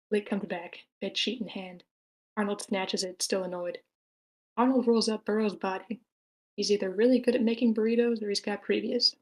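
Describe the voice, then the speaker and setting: A woman's voice: mid-range voice, rough recording. Female narrator, middle register, scripted screenplay narration for a table read. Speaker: narrator reading stage directions.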